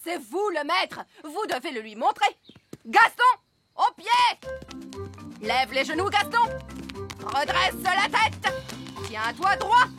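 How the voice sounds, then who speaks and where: Casting: strict bossy trainer, high swooping voice, barking commands, music underneath. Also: female voice, very animated, slow pace, pauses often, pushed and bright, exaggerated voice. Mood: firm, commanding. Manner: commanding, clipped. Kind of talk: children's cartoon dub. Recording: music behind the voice.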